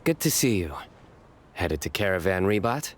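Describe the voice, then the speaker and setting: Casting: solemn, self-important anime game commander with a fairly low, deadpan voice. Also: male voice, normal speed, a little hiss or hum. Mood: reserved. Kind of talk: anime video game voice acting.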